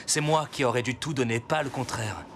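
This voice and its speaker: A man's voice: mid-range voice, brisk, background noise, close to an everyday voice. A man voicing an earnest, proud tribesman in a French cartoon dub. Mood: dejected.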